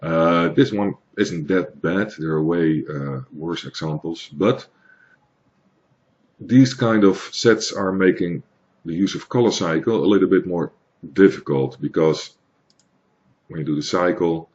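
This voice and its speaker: A man from the Netherlands with a Dutch accent, explaining software in a hesitant, plain tutorial with many fillers. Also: low voice, unhurried, muffled recording. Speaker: tutorial maker. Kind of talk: screen-recorded software tutorial.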